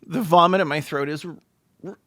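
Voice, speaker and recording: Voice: medium pitch, a little fast, fairly bright tone. A sarcastic host giving mocking, theatrical comedic commentary in a reaction show.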